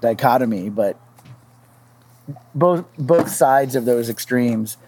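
Man, average pitch, podcast panelist, halting, thinking aloud, conversational. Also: lively intonation, noisy background. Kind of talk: casual discussion.

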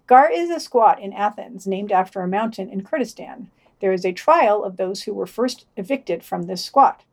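A woman's voice: medium pitch, a little mellow. A woman co-hosting a podcast, reading out a news digest with dry, wry asides. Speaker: podcast co-host.

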